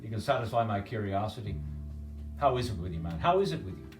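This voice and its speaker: A man's voice: lowish voice, big pitch swings, fast talker, echoey room, recorded from a distance, soft background music, pitched below the performer's own voice. A man voicing a hearty, brusque older innkeeper. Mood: scolding. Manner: brusque, scolding, jovial.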